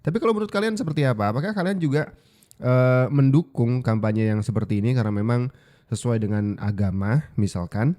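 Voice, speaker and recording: Indonesian guy host doing casual political commentary, chatty, mellow tone. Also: medium pitch, fairly quick. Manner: conversational, colloquial.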